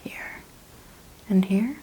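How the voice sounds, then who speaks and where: A woman speaking softly, often in a breathy whisper, gently and carefully explaining each step as a chiropractor in an ASMR exam roleplay. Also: very slow, slight background noise. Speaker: ASMR host playing a chiropractor examining a patient.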